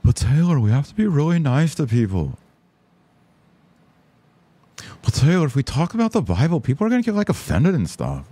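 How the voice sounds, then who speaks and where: A man acts out a fussy, whiny liberal Jesuit for a podcast parody. The voice jumps high and sounds affected, prissy and easily wounded, protesting that everyone ought to be nice. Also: wildly swooping pitch, quick pace, dark tone, breathy edge, dry recording, heavily acted. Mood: whiny pleading.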